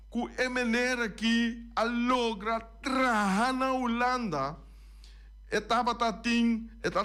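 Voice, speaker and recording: A man with a high voice talks slowly and deliberately as a radio host. He drives his points home with repetition, running through lists item by item. The sound is a close studio microphone. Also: fairly bright tone. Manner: emphatic, repeats and lists points. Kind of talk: radio talk commentary.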